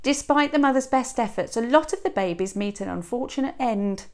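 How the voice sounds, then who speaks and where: A woman in her thirties reading a children's picture book aloud like a teacher, with expressive emphasis and dramatic pauses. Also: on the high side. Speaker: teacher reading to a young class. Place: small classroom, indoors. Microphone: clip-on lapel mic.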